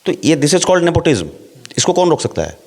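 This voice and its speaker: A middle-aged man, an actor, talking in a relaxed interview and laying out his points with rhetorical questions. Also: fairly high pitch, normal speed, creaky. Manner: explains at length with rhetorical questions.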